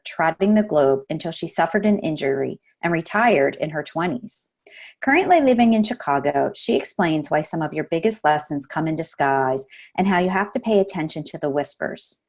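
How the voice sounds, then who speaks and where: Podcast host lady, lowish voice, friendly, easy questions. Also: a little mellow. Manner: friendly, reads her intro then asks easy questions. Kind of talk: podcast intro and interview.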